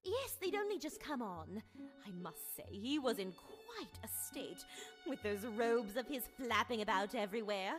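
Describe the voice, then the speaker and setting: Posh-mannered gossipy widow lady for a visual novel, super lively intonation, music behind. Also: fairly high pitch, unhurried. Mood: gossipy recounting. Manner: mannered, prim, chatty upper-class phrasing. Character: genteel, gossipy society widow, married more than once. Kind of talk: voiced visual novel dialogue. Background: game music.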